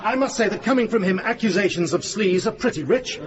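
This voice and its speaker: Mocking male Prime Minister, high-voiced, sarcastic radio drama oratory in a long-reverb hall. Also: expressive, a little fast. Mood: mocking, smug. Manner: sarcastic, theatrical. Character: smug, mocking male Prime Minister scoring debating points. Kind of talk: radio drama parliamentary speech. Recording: reverberant hall, dull sound, loud crowd.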